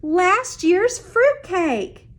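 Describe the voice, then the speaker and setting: A woman plays a bustling, cheerful neighbor lady from a children's storybook. Her voice is high and unhurried, lifting into emphatic, sing-song hospitality as she presses treats on everyone. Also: big pitch swings, heavily acted. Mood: cheery, inviting.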